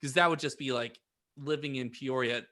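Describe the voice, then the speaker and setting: A man's voice: mid-range voice, fairly even intonation, normal speed, bright, forceful tone. A man co-hosting a podcast with casual, joking banter. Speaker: podcast co-host.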